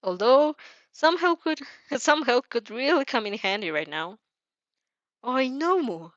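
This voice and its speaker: A woman narrating a fan fiction story dramatically, with an expressive, somewhat halting delivery. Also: fairly high pitch, no room sound, highs cut off.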